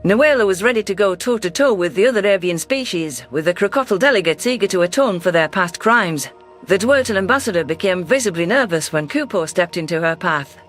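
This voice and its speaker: Guy narrating a sci-fi story, steady and measured, higher voice, soft music behind. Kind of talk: scripted sci-fi audiobook narration.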